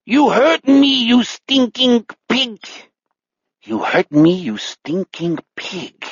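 A man's voice: very high-pitched, sing-song, breathy edge, no echo, highs cut off, pitched above the performer's own voice, full character voice. A man voicing a gloating, otherworldly predator spirit with a warped pseudo-German accent and a guttural, strained delivery, chanting and drawing out words. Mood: enraged. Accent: warped, invented pseudo-German. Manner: chanting repetition, drawn-out words. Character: otherworldly predator spirit inside an old killer, shrill, gloating.

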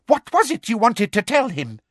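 A performer voicing a domineering, greedy middle-aged female official in an audiobook, sharp and demanding, with a falsely sweet streak. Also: mid-range voice, sing-song, a little slow, no room sound, clean studio sound, voice pushed up. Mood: excited, demanding.

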